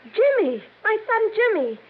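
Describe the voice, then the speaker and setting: An actress playing a distraught mother in a 1950s radio melodrama, rushing and pleading. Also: very high-pitched, sing-song, exaggerated voice. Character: frantic, distraught mother of a missing boy, a woman. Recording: muffled 1950s radio recording, faint noise.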